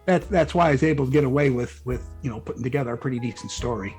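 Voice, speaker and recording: A man's voice: mid-range voice, very fast, a little mellow, faint music behind. A man speaking casually as an interview guest, rambling a little in a hesitant, self-deprecating way. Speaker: guest writer.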